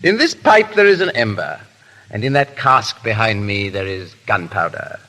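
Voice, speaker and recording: An actor playing a debonair, teasing gentleman adventurer with flippant, playfully hesitant speech in a 1930s radio drama. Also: on the low side, expressive, normal speed. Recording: noisy, echoing 1930s radio broadcast.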